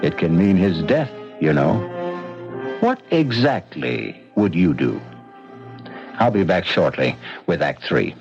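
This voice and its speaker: Deep male radio mystery host. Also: big pitch swings, slow pace, creaky. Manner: addresses listeners directly, dramatic. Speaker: show host narrator. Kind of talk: old-time radio narration. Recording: dull old radio recording with music.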